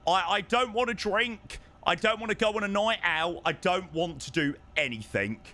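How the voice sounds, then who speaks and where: A young man hosting a live stream, telling stories in a casual, chatty way. His voice is fairly high and bright, and his pitch swings widely as he gets animated. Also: moderate pace. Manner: chatty, animated storytelling, reads chat aloud. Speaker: flight sim streamer.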